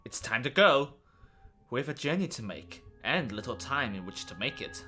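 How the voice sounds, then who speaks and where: Man voicing a bright, swooping, theatrical guide. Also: mid-range voice, big pitch swings, moderate pace, soft background music. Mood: ominous teasing. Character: theatrical male guide, grandly ominous and playfully teasing. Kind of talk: fan-dub animated adventure acting.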